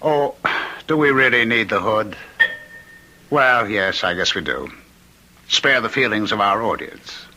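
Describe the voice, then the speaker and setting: An actor playing a taunting condemned killer in an old radio drama, mocking and theatrically calm. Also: male voice, on the low side, measured pace, long pauses, full character voice. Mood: mocking, ominous. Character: taunting condemned killer, man claiming to be immortal Ripper. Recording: noisy old radio recording with clicks.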